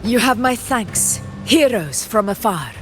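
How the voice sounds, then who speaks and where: A woman's voice: on the high side, lively intonation, a little slow, noisy background. An actress playing a proud, valiant young female champion in a video game, speaking in a ceremonious, declamatory way. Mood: solemn, rousing. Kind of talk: anime-style video game voice acting. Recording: game music behind the voice.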